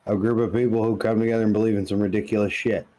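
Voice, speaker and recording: A man's voice: lowish voice, little pitch movement, racing, dark tone. A man co-hosting a podcast, chatting casually and tossing in wry jokes. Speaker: podcast co-host. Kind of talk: casual comedic podcast chat.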